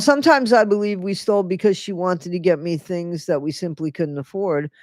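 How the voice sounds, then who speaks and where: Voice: medium pitch, darkish tone, dry recording, studio quality. A livestream host reading a book aloud to viewers and breaking off for sarcastic, chatty comments, often stopping to reread a line. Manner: sarcastic asides between read passages. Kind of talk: casual live reading with commentary.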